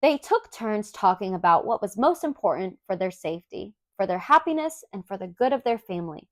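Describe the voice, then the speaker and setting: A woman reads a children's story aloud in an expressive, clearly enunciated storytelling manner. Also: mid-range voice, brisk, no echo, studio quality. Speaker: storybook reader.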